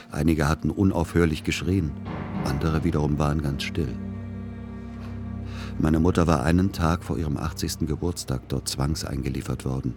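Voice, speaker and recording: An actor playing a melancholy grown son, narrating his past in a measured, reflective radio play style. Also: a bass voice, darkish tone. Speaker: first-person narrator.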